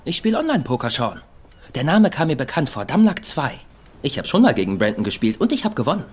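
Defensive sidekick, earnest, husky, animated pitch, dubbed crime comedy. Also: male voice, fast talker. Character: earnest, defensive young sidekick, easily exasperated.

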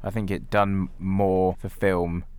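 A man's voice: sits low, flat delivery, slow, darkish tone, clean tone, dry recording. A man co-hosting a podcast, discussing films casually in a blunt, critical way. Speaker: podcast co-host.

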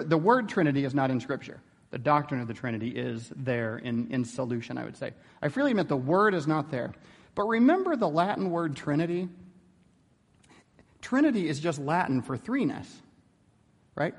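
A man's voice: a little high, big-room sound. A man giving an informal theology lecture in a conversational way, often checking in with rhetorical questions.

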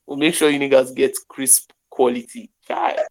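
Gravelly young Nigerian man on a call. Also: medium pitch, steady tone, normal speed, rough recording. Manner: joking, mixes in Yoruba phrases. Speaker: podcast host. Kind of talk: casual chat in pidgin and English. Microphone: internet call.

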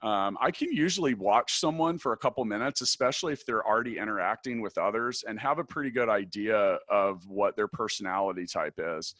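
A man with a big, deep voice answering interview questions thoughtfully, restarting his sentences often. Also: a little fast, few pauses, a bit bright, dry recording, studio quality. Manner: thoughtful, many restarts and fillers. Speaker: podcast guest, business founder.

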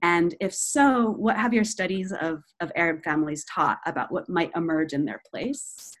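Low-voiced young woman interviewer. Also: normal speed. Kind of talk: academic interview, reading a quotation.

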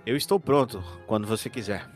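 Brooding, courteous male detective, played by a man for tabletop horror roleplay; measured formal phrasing, music behind. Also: fairly low pitch, lightly acted. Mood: businesslike, resolute.

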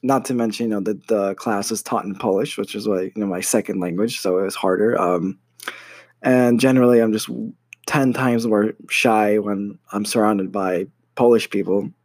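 Hesitant low-voiced American man. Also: fairly even intonation, normal speed, a little mellow, dry recording. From United States. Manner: hesitant, many fillers and restarts. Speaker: university student. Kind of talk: casual personal story to camera.

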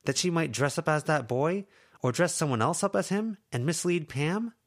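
A man's voice: on the high side, lively intonation, normal speed, voice pushed up. A man voicing an exasperated teenage girl who scolds her friends with tense, pleading frustration. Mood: frustrated scolding.

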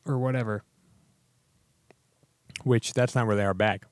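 A hoarse man co-hosting a podcast, chatting casually in a laid-back, joking way. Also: on the low side, steady tone, a little slow, dry recording. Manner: laid-back, joking, trails off mid-sentence. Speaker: podcast co-host. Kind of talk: casual chat.

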